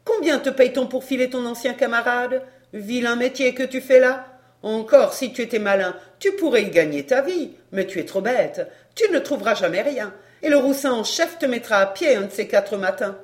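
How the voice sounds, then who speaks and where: A woman's voice: on the high side, normal speed, lightly acted. A woman reading a story, voicing a brazen fairground woman who is scornful, cheeky and slangy. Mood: mocking. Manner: taunting, slangy, derisive.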